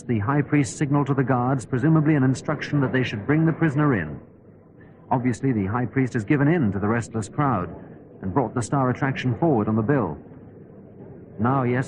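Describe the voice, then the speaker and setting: An actor playing an observant radio reporter giving a dramatized live on-the-spot commentary. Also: male voice, lowish voice, a little mellow, slight background noise, natural voice, poor audio. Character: on-the-spot male radio reporter, hushed commentary turning to panic. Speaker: roving reporter.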